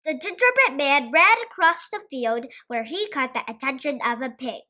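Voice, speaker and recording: A woman reading a children's story aloud with expressive scripted narration. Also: high voice, normal speed.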